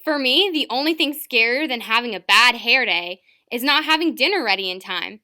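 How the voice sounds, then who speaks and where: A woman's voice: fairly high pitch, expressive, normal speed, sharp tone. A woman playing a chirpy, put-upon housewife in a retro radio commercial, with exaggerated delivery. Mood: frazzled. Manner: perky, exaggerated.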